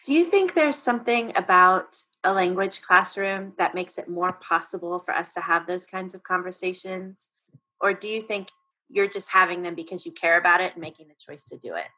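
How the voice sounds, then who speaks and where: Bright-voiced woman interviewer. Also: fairly low pitch, moderate pace, low-quality recording. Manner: engaged, thoughtful, summarizing. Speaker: podcast host and interviewer. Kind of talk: conversational interview.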